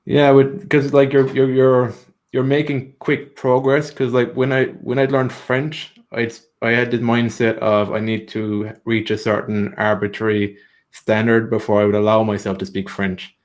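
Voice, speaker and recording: A man's voice: mid-range voice, level tone, moderate pace. A man giving language-learning tips in a live training session, explaining steadily with frequent "so" and "like".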